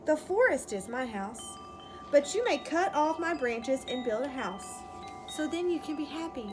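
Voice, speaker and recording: A woman voicing a gentle, giving old female tree in a storybook, speaking haltingly, soft and tender, sad but loving. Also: high voice, animated, over music. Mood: gentle, eager.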